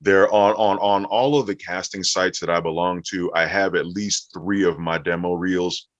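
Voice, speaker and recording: Very deep-voiced man explaining, echoey room. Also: steady tone, normal speed. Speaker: voice actor being interviewed. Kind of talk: conversational interview explanation. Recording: echoey room, dull muffled audio.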